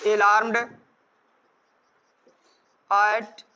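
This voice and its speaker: A man's voice: sits high, completely flat delivery, extremely slow, bright, forceful tone, clean tone. A man with an Indian accent giving a grammar lesson as a teacher, explaining rules step by step and repeating them.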